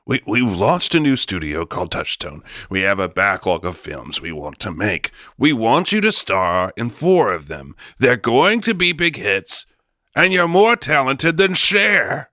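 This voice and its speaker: A man's voice: medium pitch, big pitch swings, unhurried, bright, forceful tone, no echo. A man playing a flustered studio boss in a comic radio drama, stammering and placating as he wheels and deals. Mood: flustered, flattering. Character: smooth-talking, flustered male studio boss wheeling and dealing.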